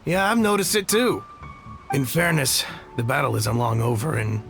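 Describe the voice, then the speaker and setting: An eager rank-and-file soldier in a game, with a bright, gravelly voice on the high side. He is talkative, admiring and effusive, with music underneath. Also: big pitch swings, moderate pace. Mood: thoughtful. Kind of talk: video game voice acting.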